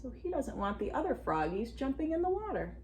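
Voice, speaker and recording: A woman reading a storybook aloud expressively to children, pausing for asides and questions to her young listeners. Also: high voice, slight background noise. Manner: animated, addresses children with questions. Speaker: children's read-aloud reader.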